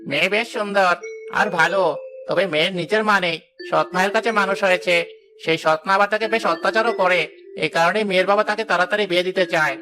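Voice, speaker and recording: A man's voice: sits high, crowd noise, natural voice. Man playing a chatty village matchmaker. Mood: brisk. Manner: brisk, businesslike, reassuring.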